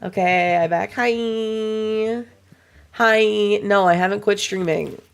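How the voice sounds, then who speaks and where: A young woman streaming a game, chatting casually to her viewers with a voice still hoarse and froggy from a cold, rambling and swearing freely. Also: mid-range voice, slow. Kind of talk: casual livestream chat while gaming.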